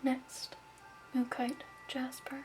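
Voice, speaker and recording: A woman speaks in a soft, breathy near-whisper, as in an ASMR shop roleplay. Her delivery is very slow and level, with little rise or fall. She explains things gently over clearly audible music. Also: high voice, monotone, poor audio. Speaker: ASMR performer playing a crystal shop keeper.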